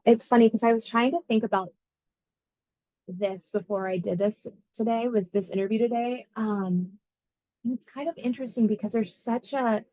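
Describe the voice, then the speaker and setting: A woman's voice: mid-range voice, darkish tone, no room sound, low-quality recording. Woman explaining in a dry, close recording. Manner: explanatory, thinking aloud, conversational. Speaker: air traffic controller being interviewed. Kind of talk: informal career interview answers.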